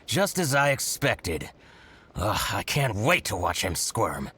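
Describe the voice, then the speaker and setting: An actor playing a scheming, spiteful shopkeeper in a video game, with exaggerated, exclamatory, gloating delivery. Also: male voice, lowish voice, big pitch swings, takes it slowly, bright, forceful tone, slight background noise, heavily acted. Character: scheming, theatrical male shopkeeper, gloating and spiteful toward rival.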